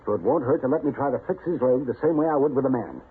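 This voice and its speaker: An actor playing a wry, persuasive older American town doctor in a radio western. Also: male voice, mid-range voice, lively intonation, fast, slightly rough. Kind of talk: old-time radio western drama acting. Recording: muffled, faintly noisy old radio recording.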